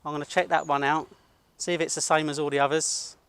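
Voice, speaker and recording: A British man with a voice a little higher than most talks in a blunt, self-assured way. He sounds like an independent videographer speaking casually outdoors, narrating to his camera and chatting. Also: flat delivery, fast talker, fairly bright tone, clean tone, dry recording. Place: outdoors at a college car park.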